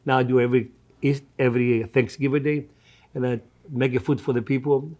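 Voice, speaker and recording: A man's voice: mid-range voice, fairly even intonation, brisk, a little mellow. A man in his seventies from Greece, a native Greek speaker with a Greek accent and non-native grammar, talking conversationally in an interview as a restaurant owner and often repeating his phrases. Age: 70s.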